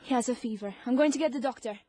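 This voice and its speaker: A woman's voice: on the high side, racing, warm tone, natural voice. A woman playing a prim, caring young British army nurse in a period radio drama. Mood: concerned.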